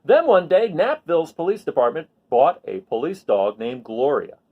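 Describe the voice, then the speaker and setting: A man reading a children's picture book aloud expressively, pausing for asides and questions to his listeners. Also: a little high, sing-song, phone-quality sound. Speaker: read-aloud storyteller.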